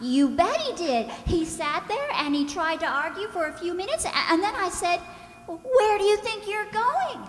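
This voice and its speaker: A woman playing an excitable, giggly young contestant on an old-time radio show, telling a story in a flustered, stammering way. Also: very high-pitched, normal speed, heavily acted. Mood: excited. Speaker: stunt contestant. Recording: echoey hall.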